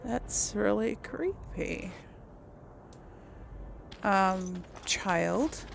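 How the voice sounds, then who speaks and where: A woman's voice: mid-range voice, expressive, very slow, gravelly, a little hiss or hum. A woman doing casual let's play commentary, chatting and musing aloud as she plays. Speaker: game streamer, role-player. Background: game ambience.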